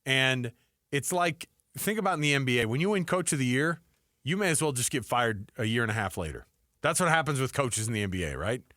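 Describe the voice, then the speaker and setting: A man with a medium-pitched voice talks sports as a radio co-host. His delivery is casual and animated, often slipping into imagined quotes. The sound is very dry, with little room. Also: a little fast, no room sound.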